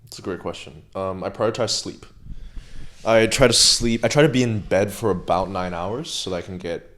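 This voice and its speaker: Man, young adult, very low voice, interview guest, reflective, measured. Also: a bit bright. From Hong Kong. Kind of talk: casual interview conversation.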